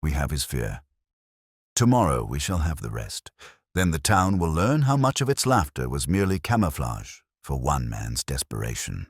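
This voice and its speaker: A man voicing a calm, mild police inspector who makes measured, gently pointed speeches in a detective audiobook. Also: a bass voice, slightly rough, no room sound, studio quality, heavily acted. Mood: calm, soft. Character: mild, calm male police inspector delivering quiet reveals.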